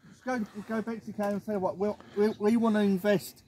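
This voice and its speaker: A man's voice: high-pitched, steady tone, fast, warm tone. A man, chatting casually outdoors and repeating himself as he thinks aloud. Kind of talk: casual chat. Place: outdoors by a grassy field. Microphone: camera a few feet away. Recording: faint outdoor background noise.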